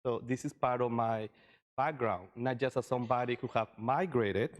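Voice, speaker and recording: A man from Costa Rica presents to a group in an informal style. His voice sits at an average pitch, and his phrasing is loose and a little hesitant as he explains things. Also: moderate pace. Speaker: session presenter, migration researcher.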